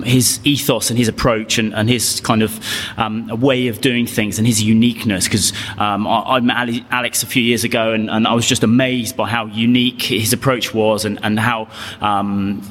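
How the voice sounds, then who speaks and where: A man's voice: lowish voice, fairly even intonation, moderate pace, fairly bright tone. A man hosting a podcast interview, talking with many fillers and repeated words. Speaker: podcast host. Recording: long reverb, faint music.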